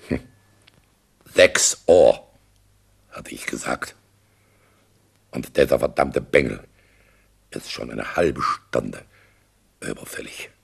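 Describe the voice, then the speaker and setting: An actor playing a stern, pompous old schoolmaster who mutters haltingly and formally, in a radio play. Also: male voice, extremely low pitch, expressive, vocal fry, heavily acted. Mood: grumbling, impatient. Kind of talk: German radio play acting.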